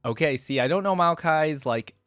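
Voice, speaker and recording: A man's voice: on the high side, animated, normal speed, a bit bright, no room sound. Casual male reaction host, dry close sound. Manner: enthusiastic, informal, often reacting aloud. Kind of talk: casual gaming reaction commentary, reading wiki text aloud.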